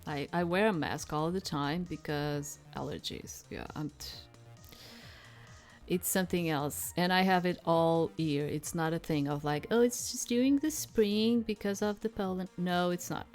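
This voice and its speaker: Low-voiced woman rambling through a game. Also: darkish tone, soft background music. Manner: rambling, halting, repeats herself. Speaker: streamer playing a visual novel demo. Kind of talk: casual playthrough commentary, reading game text aloud.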